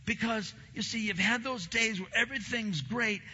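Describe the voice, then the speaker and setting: A pastor preaching a sermon in a church, a man with a big voice who swings from low, slow stresses to loud, emphatic bursts. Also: husky, long reverb tail, lo-fi sound.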